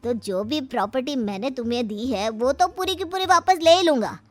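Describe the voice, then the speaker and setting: A woman's voice: sits high, lively intonation, moderate pace, dry recording, soft background music, pitched above the performer's own voice. A woman voicing a shrewd, firm, wealthy father with assertive, sly bargaining in a moral story. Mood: threatening.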